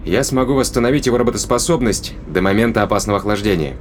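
An actor playing a calm, practical spaceship captain with a wry, casual manner in a science fiction audio drama. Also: male voice, fairly low pitch, fast talker, slight background noise, close to an everyday voice. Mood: calm, reassuring.

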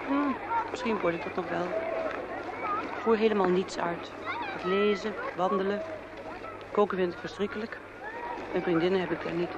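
A prickly young woman, played by an actress in a radio drama, guarded and hard on herself. She answers curtly and defensively. The sound is muffled, with clear noise and bustle behind her. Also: fairly low pitch, lightly acted. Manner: curt, defensive. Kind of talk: radio play dialogue. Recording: dull, noisy radio play with outdoor sounds.

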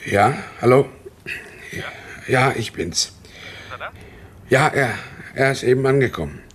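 A man's voice: medium pitch, animated, a bit bright. Nervous, stammering man. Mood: tense. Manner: halting, stammering, repeats words. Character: nervous, confused man drawn into a police trap. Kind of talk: German radio crime drama acting.

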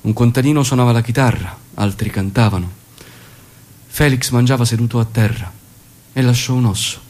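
An actor playing a commanding, shrewd guerrilla leader in a stage drama, speaking in a measured, authoritative way. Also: male voice, medium pitch, darkish tone, close to an everyday voice. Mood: grave, reflective. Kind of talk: theatrical dramatic acting and monologue.

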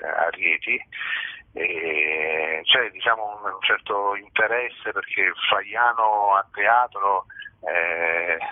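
Low, husky man on a phone line. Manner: hesitant, halting, thoughtful, searching for words. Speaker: stage actor, interview guest. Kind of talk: spontaneous interview answers. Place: phone interview. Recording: muffled roomy telephone line.

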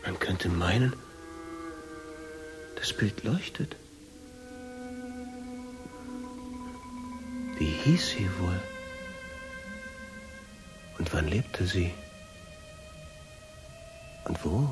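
A man's voice: low-pitched, lively intonation, extremely slow, pauses often, music in the background, full character voice. A man in a German radio drama playing a brooding, evasive husband obsessed with a painting. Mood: hushed wonder. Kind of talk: German radio drama acting and first-person narration.

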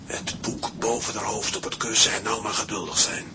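A man's voice: fairly low pitch, level tone, a little fast, heavy background noise, lightly acted. An actor playing a bossy, musing seaman in a radio drama, switching between curt orders and poetic murmurs. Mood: urging.

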